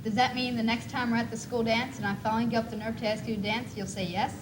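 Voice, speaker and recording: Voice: medium pitch, level tone, fast, lightly acted. A young teenage student playing a nervous, lovesick schoolboy in a school stage monologue. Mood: earnest, shy. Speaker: student actor in a school one-act play. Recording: noisy 1980s school video recording.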